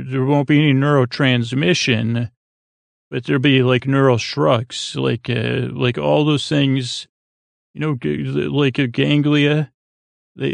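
Guy with super creaky voice doing a meandering bedtime podcast, whimsical and halting, really dry close sound. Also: medium pitch, dry recording. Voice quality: very creaky, gravelly. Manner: meandering, halting, trails off and circles back, whimsical. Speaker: podcast host. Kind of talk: rambling bedtime sleep podcast monologue.

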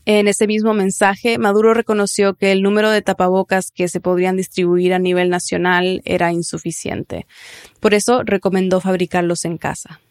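A woman's voice: mid-range voice, level tone, normal speed, dry recording. Woman hosting a news podcast, dry voice. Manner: clear, explanatory, asks follow-up questions. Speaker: podcast host. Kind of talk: scripted news narration and interview questions.

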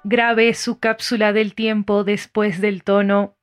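A woman voicing the calm, formal announcing voice of a time-travel system in an audio drama. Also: medium pitch, slow pace, music in the background, lightly acted.

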